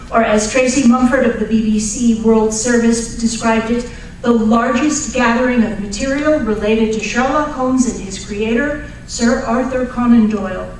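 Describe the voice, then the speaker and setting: A woman reads a prepared, formal introduction in a measured way. Her fairly high voice comes from a distance in a heavily echoing hall, with a little noise behind it. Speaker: director of a radio drama troupe. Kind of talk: scripted introductory speech. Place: echoey event hall with live audience.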